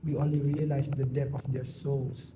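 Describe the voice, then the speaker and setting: A man's voice: mid-range voice, little pitch movement, moderate pace, noisy background, natural voice. A man acting in an amateur stage play as a sorrowful, reflective villager. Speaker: amateur student actor. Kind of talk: stage play dialogue, recited.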